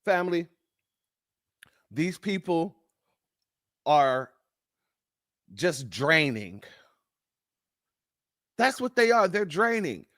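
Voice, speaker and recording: A man's voice: fairly high pitch, sing-song, very slow, pauses often, a bit bright, no echo, studio quality. A man hosting a livestream, delivering fiery, emphatic and profane preaching-style commentary and thanking donors by name. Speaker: livestream host.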